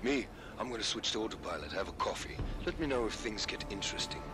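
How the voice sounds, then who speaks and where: A man's voice: on the low side, fast talker, over loud music, lightly acted. An actor playing a wisecracking, crude, easygoing pilot who ribs his passenger in a video game cutscene. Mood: joking. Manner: joking, crude, relaxed.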